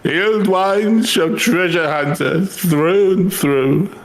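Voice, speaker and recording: A man's voice: sits high, takes it slowly, poor audio. A man voicing a tipsy, stubborn, down-on-his-luck treasure hunter from a game, with a theatrical, colloquial drawl. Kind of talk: reading game character dialogue aloud.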